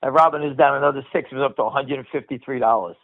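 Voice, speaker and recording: A man's voice: medium pitch, racing. A middle-aged or older man hosting a stock market call with unscripted, opinionated commentary, speaking bluntly and emphatically, with swearing and repeated phrases. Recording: muffled lo-fi radio-like call audio.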